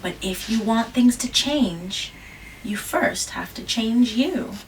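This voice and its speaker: A woman reading a children's picture book aloud, gentle, with dramatic whispers and asides. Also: on the high side, lively intonation, slow pace. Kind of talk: read-aloud storytelling. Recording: distant mic with background hiss.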